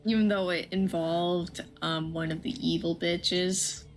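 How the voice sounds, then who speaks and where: Woman with a lowish voice chatting casually on a game stream, music playing along. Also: fairly even intonation, a little slow. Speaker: co-host of a game livestream. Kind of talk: casual chat while gaming.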